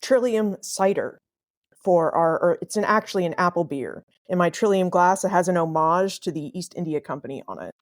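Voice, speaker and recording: A woman from New England with a mild northeastern US accent, chatting brightly as a guest historian on a podcast livestream. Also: lowish voice, animated, moderate pace. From New England, USA. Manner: bubbly, chatty. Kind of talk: casual livestream chat.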